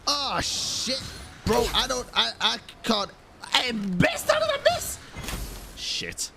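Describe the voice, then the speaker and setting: A man doing casual live gaming commentary as a streamer, expressive, slangy and sweary. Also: very high voice, slow pace, vocal fry, background noise. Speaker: game streamer. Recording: game music behind the voice.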